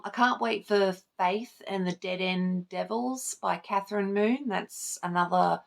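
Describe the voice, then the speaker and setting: A woman with a slightly low, creaky voice talks in the loose style of a book vlog. She rambles informally, breaking into her own sentences and tossing in the odd mild swear word. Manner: informal, rambling, self-interrupting, lightly sweary. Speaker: book vlogger. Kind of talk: casual chat to camera about books.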